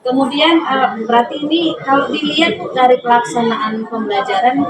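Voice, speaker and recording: A woman's voice: high voice, normal speed, over a noisy crowd. A woman leads a semi-formal coaching interview as a teacher, politely and often checking for agreement. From Indonesia. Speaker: teacher leading a coaching session. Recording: roomy, distant mic, loud background noise.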